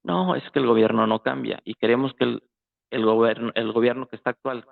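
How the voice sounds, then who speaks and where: A Mexican man chats casually as a guest in a discussion. His delivery is colloquial and conversational, at a middle pitch with some gravel in it. The sound is thin and phone-like. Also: fairly even intonation, brisk. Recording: tinny phone-like, roomy echo.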